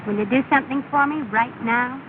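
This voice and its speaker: A woman's voice: high voice, brisk, lightly acted. An actress playing a cheerful, gracious young woman, teasing and polite, in 1930 film acting. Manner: bright, polite, lightly teasing. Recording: scratchy, tinny 1930 film soundtrack with hiss.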